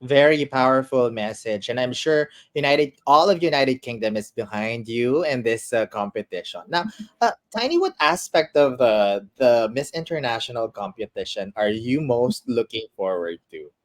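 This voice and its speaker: Friendly female host with halting questions. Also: rumbling low, animated. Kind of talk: interview questions.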